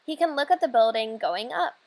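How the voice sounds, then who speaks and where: A woman reading aloud a children's storybook as a hopeful, dreamy young girl, earnest and measured. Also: fairly high pitch, animated, darkish tone.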